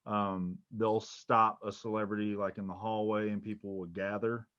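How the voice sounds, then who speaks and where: A man who organizes a convention, explaining his plans in a measured way. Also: lowish voice, little pitch movement, a bit bright, no echo, dull audio. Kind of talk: casual video call chat.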